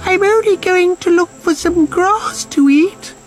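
Tiny, high-pitched frightened little goat. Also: male voice, unhurried, soft background music, rough recording. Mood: terrified pleading. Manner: squeaking, timid pleading. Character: tiny terrified squeaky young male billy goat.

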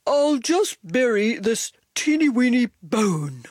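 A woman voicing an excitable, impatient male pet dog in a children's storybook, eager and exclamatory. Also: on the high side, takes it slowly, no echo, clean studio sound, pitched above the performer's own voice, full character voice. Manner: eager, exclamatory, repeats words.

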